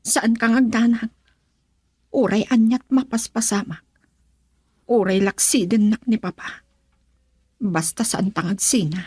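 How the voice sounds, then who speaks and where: A devoted young Filipino woman in love in a radio drama. Her voice is on the high side and breathy, full of pleading emotion, recorded very dry. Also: steady tone, measured pace, long pauses, a little mellow, dry recording. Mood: worried, tender. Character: devoted young rich daughter, romantic and defiant.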